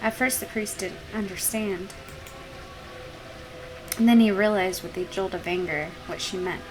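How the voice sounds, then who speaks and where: A woman's voice: mid-range voice, steady tone, a little slow, over music, rough recording. A woman gaming host reading a visual novel aloud as narration.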